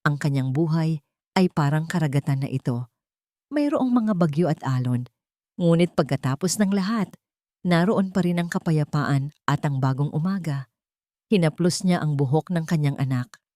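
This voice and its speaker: Female narrator for heartfelt scripted drama stories: low register, earnest delivery, dry studio-like sound. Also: lively intonation, normal speed, dark tone, no room sound. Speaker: story narrator.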